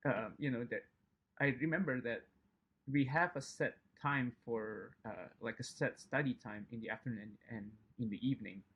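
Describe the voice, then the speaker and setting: A man's voice: medium pitch, moderate pace, husky, muffled recording. A man from Indonesia whose first language is not English, answering interview questions in a hesitant, conversational way with many fillers. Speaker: chemist guest.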